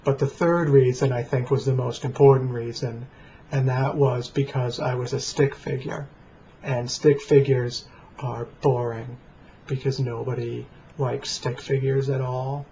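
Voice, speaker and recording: Man, American accent, flat deadpan delivery, mellow tone, casual rambling vlog, lo-fi noisy recording. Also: mid-range voice, a little slow. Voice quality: flat, plain voice. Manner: deadpan, dry. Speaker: vlogger. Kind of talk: casual rambling talk to camera. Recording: dull lo-fi webcam sound, faint noise.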